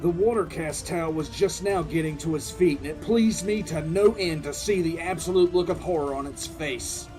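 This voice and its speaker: An actor playing a battle-hardened military commissar who recounts combat with grim relish in an intense, theatrical audio drama narration. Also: male voice, a little high, over music, close to an everyday voice, low-quality recording. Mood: grimly gleeful. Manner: intense, theatrical, drawn-out emphatic delivery.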